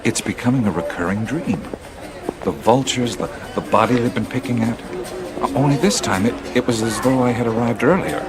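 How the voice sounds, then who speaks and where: An actor in a radio drama playing a thoughtful, troubled adventurer who speaks in a hesitant, musing way. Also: male voice, medium pitch, normal speed, natural voice. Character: thoughtful, troubled male adventurer seeking answers.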